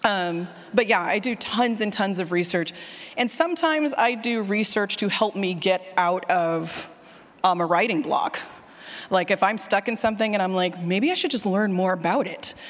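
A speaker giving an informal conference talk on writing, with animated asides and questions repeated back to the audience. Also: medium pitch, moderate pace. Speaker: presenter, fiction podcast writer. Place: conference session room. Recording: hall with long reverb.

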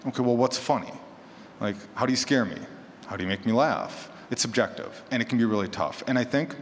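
A man speaking casually as a panelist at a live discussion, in a conversational way with self-deprecating asides. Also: mid-range voice. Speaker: panelist, horror fiction podcaster. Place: large echoey room at a convention panel. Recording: echoey hall, noticeable background noise.

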